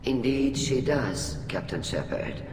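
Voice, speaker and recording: Dignified older alien admiral, very echoey. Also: female voice, extremely low pitch, lively intonation, exaggerated voice. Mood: reassuring, firm. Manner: formal, measured, stately. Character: older female alien admiral, dignified, warm, protective family friend. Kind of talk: video game voice acting. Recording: echoey hall, clear ambient noise.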